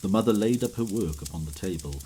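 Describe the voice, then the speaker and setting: A male actor is a solemn storyteller narrating an audio drama. His voice is deep and grave, with measured dramatic delivery. A faint noise sits underneath. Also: animated, dark tone, natural voice.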